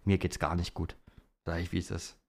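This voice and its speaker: A young man talks in a fairly low voice. He is co-hosting a podcast, answering questions casually and in relaxed, colloquial phrasing. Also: normal speed, vocal fry. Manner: colloquial, slangy. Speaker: podcast co-host.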